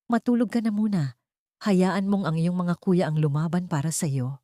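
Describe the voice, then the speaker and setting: A woman voicing a wealthy young man who speaks calmly and firmly to protect his sister. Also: on the low side, normal speed, no echo, studio quality, natural voice. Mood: soothing. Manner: calm, commanding, gentle toward his sister.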